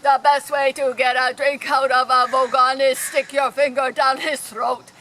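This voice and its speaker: A woman playing a talking electronic guidebook in a radio play, narrating in a droll, deliberate and informative way. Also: high-pitched, little pitch movement, fairly bright tone, slight background noise.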